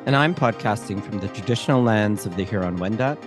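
A woman's voice: rumbling low, expressive, brisk, over music. A woman born in the Philippines, co-hosting a podcast, chatty and upbeat with rambling asides. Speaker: podcast co-host. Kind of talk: casual chat.

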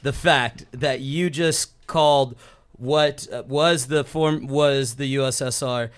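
A man's voice: mid-range voice, fairly even intonation, slow pace, smooth. A man co-hosting a casual podcast who steers the conversation, laughs a lot and teases the others. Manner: leads topics, laughs often, playful teasing. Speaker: podcast co-host. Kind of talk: casual chat.

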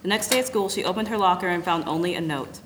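A woman playing a measured narrator in a radio drama. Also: lowish voice, a little hiss or hum, lightly acted. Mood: somber.

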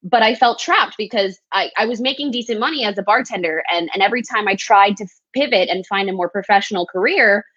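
A woman's voice: medium pitch, a little fast, hardly any pauses, fairly bright tone, dry recording. Candid woman guest on a dull line. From El Paso, Texas. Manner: reflective, candid storyteller. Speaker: guest, recruiter. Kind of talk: casual interview storytelling. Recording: dry, slightly dull sound.

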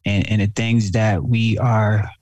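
A man co-hosting a casual talk show, talking in a slangy, animated way, often repeating himself and calling his friend bro. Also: fairly low pitch, takes it slowly. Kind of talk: casual chat.

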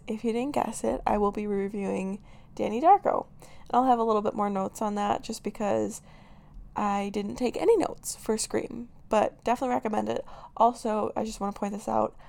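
A young woman hosting her own podcast, chatting casually and giggling, her voice raspy today with lots of filler words. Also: mid-range voice, a little mellow. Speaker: podcast host. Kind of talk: casual solo podcast chat.

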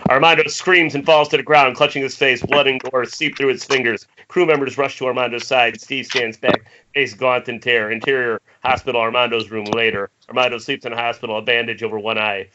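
A man's voice: medium pitch, dull audio, noisy background. A man narrating the stage directions of a screenplay at a table read over an internet call. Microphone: internet video call.

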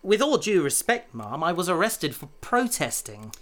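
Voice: medium pitch, very animated, moderate pace, fairly bright tone. An actor playing an earnest, nervous, idealistic rookie researcher in an audio drama, hesitant and polite. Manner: hesitant, polite, lightly defiant.

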